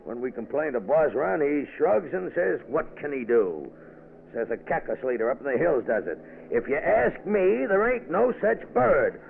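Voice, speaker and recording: A man's voice: fairly high pitch, sing-song, moderate pace, vocal fry, a little hiss or hum. An actor playing a brash, slangy American insurance man in an old radio drama. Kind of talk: 1940s radio acting. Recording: tinny old radio broadcast.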